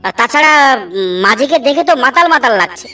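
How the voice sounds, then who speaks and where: A woman's voice: sits high, very animated, quick pace, pushed and bright, exaggerated voice. A woman voicing a reckless, pushy male traveller in a Bengali cartoon, brash and coaxing, fond of liquor. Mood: coaxing, teasing. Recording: distant voice over faint music.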